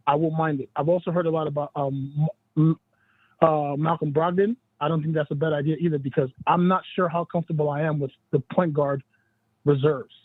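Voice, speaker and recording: A fairly high-voiced man calling in over a thin, phone-like line, a bit husky. He chats loosely about the game and laughs between points. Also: fairly even intonation, fairly quick, no room sound. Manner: chatty, laughs often, "you know what I'm saying". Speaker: guest analyst. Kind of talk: casual sports talk. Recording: tinny phone-line call.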